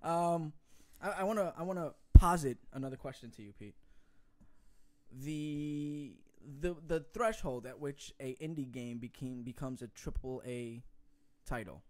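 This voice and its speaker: A man's voice: a little high, warm tone. A man co-hosting a gaming show, asking questions in casual, playful banter. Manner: playful, quick to laugh, questioning.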